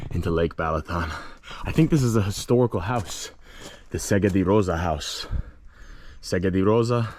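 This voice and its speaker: A man narrating a casual walking travel vlog, telling local tales in a playful, theatrical way with dramatic pauses. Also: on the low side, noisy background. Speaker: vlog host and storyteller. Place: outdoors, walking in a hilly lakeside village and vineyards.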